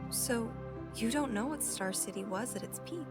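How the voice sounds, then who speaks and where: A young woman played by an actress with a fairly high voice, full of idealism. She speaks tenderly and earnestly, with playful teasing, in an audio drama set over prominent music. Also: moderate pace, natural voice. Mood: hopeful, earnest. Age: young adult.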